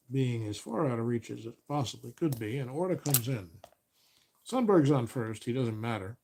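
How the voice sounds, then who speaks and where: Relaxed man calling a tabletop baseball replay. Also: mid-range voice. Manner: relaxed, self-correcting, counting stats aloud. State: voice getting a little raspy. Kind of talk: play-by-play commentary of a board game replay.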